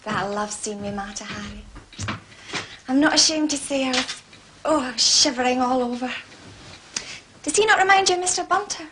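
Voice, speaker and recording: An actress playing a chatty, dreamy, romantic young housemaid with a Scottish accent in a period mystery drama. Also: female voice, high-pitched, moderate pace, pauses often, noisy background, natural voice. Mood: dreamy, gushing. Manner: gushing, chatty. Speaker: actor.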